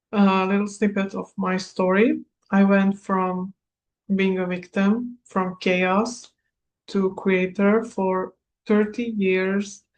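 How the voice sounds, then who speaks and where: Woman from Serbia, Hungarian native, spiritual coach, unhurried, even monotone delivery. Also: mid-range voice, rough recording. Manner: slow, measured, with small laughs. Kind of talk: recorded masterclass talk.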